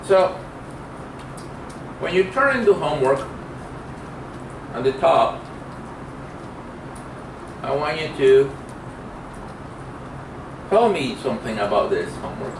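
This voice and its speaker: A middle-aged man teaching programming in an informal lecture, speaking haltingly as he thinks aloud while demonstrating. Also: medium pitch, sing-song. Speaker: programming instructor. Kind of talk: informal classroom lecture with live coding demo. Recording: roomy, distant mic, clear background noise.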